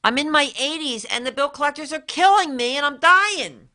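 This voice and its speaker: A woman doing a frail caller in her eighties who cries out in a high, strained voice. She is pleading and wailing, her words climbing sharply above a normal speaking pitch. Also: expressive, normal speed, bright, forceful tone. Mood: distressed pleading.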